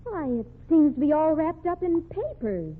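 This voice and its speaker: A pert private secretary in a vintage radio mystery. Her high-pitched voice swings expressively while staying cool-headed and dryly sassy. The sound is lo-fi and dull. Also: very animated, very noisy. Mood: curious. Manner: dry, sassy, quick to answer back. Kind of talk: old-time radio mystery acting. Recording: muffled, lo-fi old radio recording.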